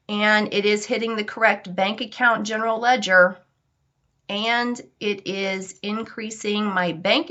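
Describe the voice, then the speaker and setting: Female software trainer, bright forceful voice, conversational step-by-step delivery, slightly dull lo-fi recording. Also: mid-range voice. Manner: conversational, instructive. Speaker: software instructor. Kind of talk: informal step-by-step software tutorial.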